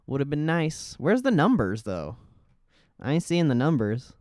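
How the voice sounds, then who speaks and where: Male streamer for casual live commentary; informal and slangy, slow delivery, dry close-miked sound. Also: fairly high pitch, big pitch swings, a little mellow, dry recording. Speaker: livestream host.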